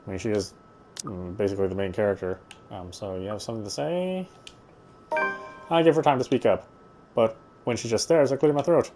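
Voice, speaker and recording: A man's voice: fairly low pitch, fairly quick, dark tone, soft background music. Man reading a visual novel aloud. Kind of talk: read-aloud narration with casual asides.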